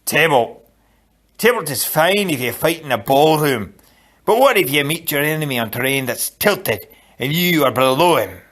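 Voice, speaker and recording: Storybook Scottish swordmaster, done by a male narrator: scoffing, demanding, challenging, slightly raised and animated. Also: on the high side, normal speed, voice pushed up. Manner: challenging, pointed questioning. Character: scoffing, demanding crippled Scottish fencing master.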